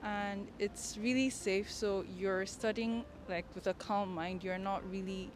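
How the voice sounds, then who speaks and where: A woman's voice: mid-range voice, fairly even intonation, a little slow. A young woman from Nigeria, a student answering casually outdoors. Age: young adult. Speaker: university student interviewee. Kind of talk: casual street interview answers. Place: outdoors on a campus. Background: music.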